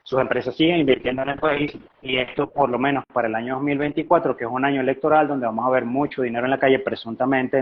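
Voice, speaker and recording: A man's voice: mid-range voice, slight background noise. A man with a Venezuelan accent giving conversational economic commentary as a guest analyst, talkative and explanatory, often posing rhetorical questions. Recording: dull, lo-fi live stream audio.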